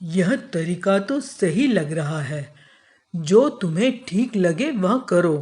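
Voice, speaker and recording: A man voices a fair-minded, authoritative emperor for a children's moral cartoon. The delivery is slow and stately. Every phrase is measured, as befits a ruler. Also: high voice, animated, darkish tone. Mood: stately, questioning.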